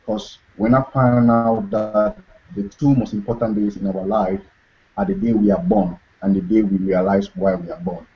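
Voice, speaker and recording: Man, young adult, earnest, low voice, muffled recording. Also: steady tone, normal speed. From Cameroon. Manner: earnest, preachy, repeats key phrases. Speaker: guest author. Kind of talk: motivational interview talk. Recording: dull lo-fi recording.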